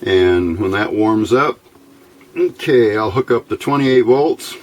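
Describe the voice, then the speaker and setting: A man giving an informal, somewhat halting hands-on electronics demonstration as the host. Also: fairly low pitch, animated. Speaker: host of electronics tinkering videos. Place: indoor workbench.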